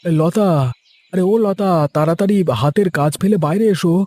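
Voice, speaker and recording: Man acting an excitable village husband. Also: high voice, moderate pace, no room sound, lightly acted. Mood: agitated, earnest. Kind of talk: Bengali cartoon voice acting.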